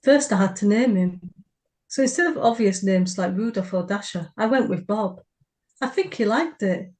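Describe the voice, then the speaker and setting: A woman's voice: medium pitch, lively intonation, normal speed, darkish tone. A woman co-hosting a writers' podcast, reading short fiction aloud. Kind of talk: story reading.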